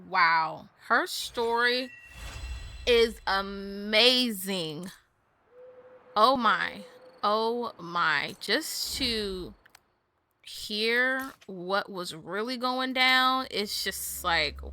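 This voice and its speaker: Very bright, slow-talking woman gamer. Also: medium pitch, a little hiss or hum. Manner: expressive, emotionally reactive. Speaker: gaming channel host. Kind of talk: casual game playthrough reactions. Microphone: voice-over commentary on game footage.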